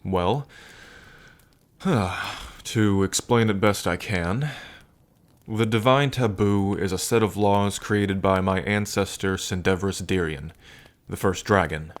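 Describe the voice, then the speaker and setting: A man playing an affectionate, wry and regal dragon king in a soft, unhurried ASMR roleplay monologue. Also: low voice. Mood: patient, explaining. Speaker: roleplay character performer.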